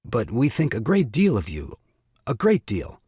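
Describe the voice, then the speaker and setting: An actor playing an excitable, scheming young father and ingratiating nephew in an audio farce, flustered and wheedling. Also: mid-range voice, a little slow, breathy edge, dry recording, studio quality, full character voice. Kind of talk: stage farce audio drama acting.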